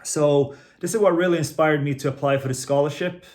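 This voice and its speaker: A man's voice: fairly high pitch, steady tone, a little mellow. Earnest young man from Finland. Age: 20s. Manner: earnest, measured, with small restarts. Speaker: credit union marketing executive. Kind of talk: prepared self-introduction talk.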